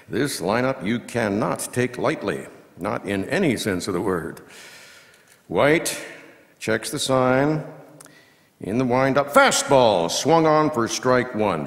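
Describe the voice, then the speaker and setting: A man performing as a folksy baseball radio commentator, calling play-by-play in measured, punchy phrases with dramatic pauses. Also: medium pitch. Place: live reading in a large reverberant hall. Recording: echoey hall with long reverb.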